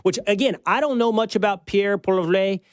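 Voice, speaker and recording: A man's voice: high voice, moderate pace, no echo. American male talk show host, dry studio sound. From United States. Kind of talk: conversational political commentary.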